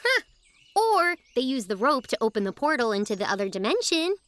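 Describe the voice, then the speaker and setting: High-voiced, lively young girl. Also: a little fast, no echo. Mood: curious, puzzled. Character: Sophie, curious imaginative young girl, teasing and excitable. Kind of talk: scripted family audio drama.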